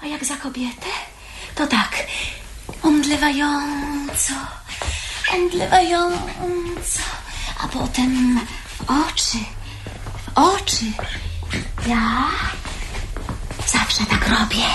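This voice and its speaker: A woman's voice: high-pitched, extremely slow, long pauses. An actress playing a bold, teasing, impulsive teenage girl in a theatrical radio drama. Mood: playful, bossy. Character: bold, teasing, mischievous teenage girl, eager for grown-up secrets. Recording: noisy 1970s radio play recording.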